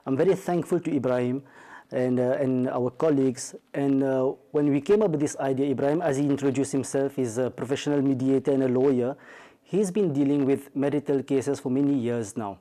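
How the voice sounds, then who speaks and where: A man from Mauritius with a Mauritian accent, a marital counselor speaking as a guest on a talk show, measured with frequent hesitations and restarts. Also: mid-range voice, dark tone. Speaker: marital counselor and Islamic studies teacher.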